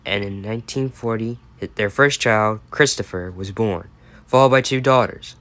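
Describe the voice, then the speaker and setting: A man's voice: fairly low pitch, sharp tone. American man reading a bedtime story. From United States. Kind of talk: storytelling and chat.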